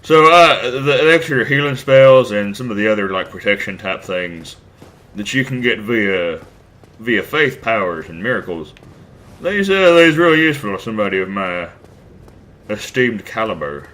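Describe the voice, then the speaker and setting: A man's voice: on the low side, expressive, normal speed, fairly bright tone. A man commenting casually on his gameplay, rambling, crude and self-deprecating, a bit stuffed up from a cold. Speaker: let's play host.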